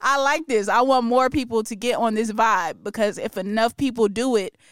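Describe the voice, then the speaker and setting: A woman's voice: a little high, steady tone, normal speed, smooth, no room sound, clean studio sound. A woman co-hosting a casual pop-culture podcast, chatty and opinionated, laughing often. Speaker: podcast co-host.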